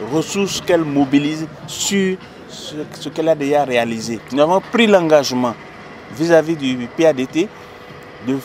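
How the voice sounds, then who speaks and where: A man with a Beninese French accent gives formal, measured interview answers as an association president, often pausing mid-phrase. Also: fairly high pitch, darkish tone. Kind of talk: interview answers, explaining a project. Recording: noisy outdoor urban recording, traffic behind.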